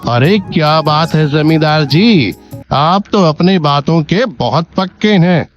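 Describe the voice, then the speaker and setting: A man's voice: on the high side, animated, slow pace. A man playing a wealthy, kindly and clever village merchant in a cartoon moral story, speaking politely and earnestly. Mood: polite, shrewd. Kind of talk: Hindi animated moral story acting. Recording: dull lo-fi sound, faint music bed.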